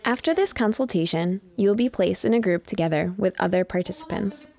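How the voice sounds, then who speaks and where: A woman reading a scripted informational voice-over in an even, measured way. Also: mid-range voice. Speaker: voice-over narrator and interpreter.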